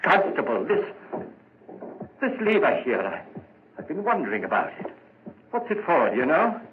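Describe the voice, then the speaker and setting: Nervous male murderer, halting agitated speech, old-time radio drama, muffled recording, heavy noise. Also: on the high side, wildly swooping pitch. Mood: agitated, restless. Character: nervous guilt-ridden male murderer, increasingly frantic.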